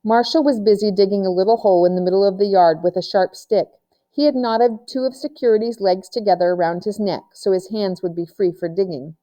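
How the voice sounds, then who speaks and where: Mellow woman reading a story aloud. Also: mid-range voice, fairly quick. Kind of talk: children's novel read-aloud narration.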